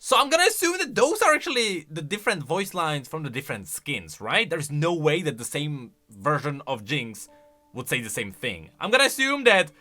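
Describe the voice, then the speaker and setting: A man with a fairly high, bright voice gives casual reaction commentary. His pitch jumps around in an animated, exclamatory way as he thinks aloud. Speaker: video creator and commentator.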